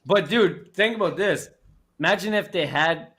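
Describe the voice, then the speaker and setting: A man's voice: sits high, moderate pace, a bit bright. A young Canadian man hosting a casual sports chat show, talking in loose rambling restarts with easy laughs.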